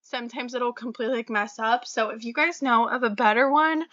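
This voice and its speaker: A young woman vlogger, slightly raspy from a cold, chatting casually about her resale items with fillers and little laughs. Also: fairly high pitch, fairly even intonation, fairly quick, a bit bright, no room sound, dull audio. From Florida. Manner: chatty, friendly, many fillers and small laughs. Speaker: reseller vlogger. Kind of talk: casual talk to camera about sales.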